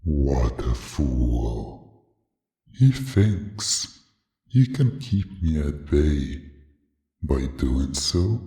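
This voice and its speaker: An extremely deep, echoing monster voice for an audio story. The creature is a huge reptilian cult leader, arrogant and menacing. It speaks very slowly and darkly, taunting its prey with imperious weight. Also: male voice, dark tone, full character voice. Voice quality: strong voice with a menacing laugh.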